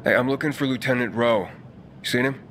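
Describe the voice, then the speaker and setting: A man playing a calm, dry-spoken wanderer. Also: medium pitch, brisk, heavy background noise, close to an everyday voice. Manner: calm, matter-of-fact. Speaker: messenger, player character. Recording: cutscene with faint score music.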